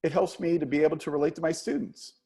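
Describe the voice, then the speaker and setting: A man's voice: a little high, steady tone, fast, gravelly, warm tone. A man reading a play as a charming, patient, flirtatious dance teacher who teases and encourages his pupil. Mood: chatty, playful. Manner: teasing, encouraging. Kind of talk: play reading, romantic comedy.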